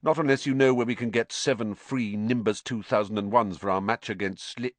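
A man's voice: on the low side, fairly even intonation, fairly quick, dry recording, close to an everyday voice. A man voicing an earnest, put-upon schoolboy in an audiobook, protesting defensively.

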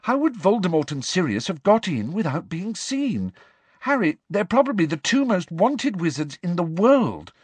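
A man's voice: high-pitched, sing-song, moderate pace, a little mellow, slightly rough, no room sound, voice pushed up, full character voice. A man voicing an anxious, earnest teenage girl witch who pleads and reasons in an audiobook. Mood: anxious, doubtful. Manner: worried, hesitant yet persistent. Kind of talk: audiobook character acting.

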